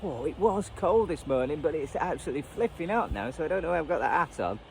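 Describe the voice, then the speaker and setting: Over clear outdoor background noise, a man with a Northern English accent talks casually to his viewers. He thinks aloud, pausing and trailing off as he demonstrates his hobby in an unscripted vlog. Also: fairly high pitch, animated. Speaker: radio hobbyist vlogger. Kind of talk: casual unscripted chat, demonstrating a radio band scan. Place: outdoors at edge of a churchyard. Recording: noisy outdoor recording with traffic ambience.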